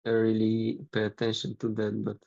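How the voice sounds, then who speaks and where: A man hosting a casual podcast chat over a video call as a language teacher, unhurried and laughing often. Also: on the low side, completely flat delivery, creaky, darkish tone, dry recording, highs cut off. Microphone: video call microphone.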